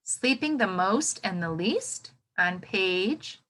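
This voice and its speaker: Female elementary teacher, read-aloud for kids: bright tone, lively swooping intonation, instructive, frequent check-in questions. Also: mid-range voice, slow. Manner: expressive, instructive, checks in with "right?". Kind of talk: read-aloud lesson for young children.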